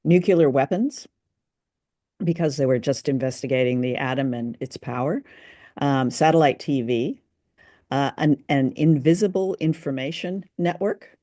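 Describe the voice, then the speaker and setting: Woman, mid-low speaking voice, dry close recording, casual informative chat, explanatory, lightly teasing. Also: normal speed, warm tone, no echo. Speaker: podcast co-host.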